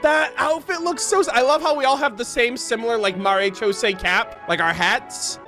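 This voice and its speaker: A woman's voice: fairly high pitch, moderate pace, fairly bright tone, soft background music. A woman streamer giving slangy, gushing, exclamatory reaction commentary on a video game.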